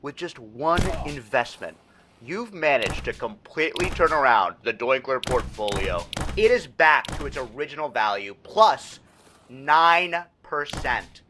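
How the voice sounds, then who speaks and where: A man's voice: medium pitch, lively intonation, a little slow, noisy background, lightly acted. A man playing a smug, creepy corporate boss in a video game, falsely chummy and theatrical, with a menacing edge. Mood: gushing praise. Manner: over-friendly, theatrical, passive-aggressive. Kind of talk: video game character voice acting.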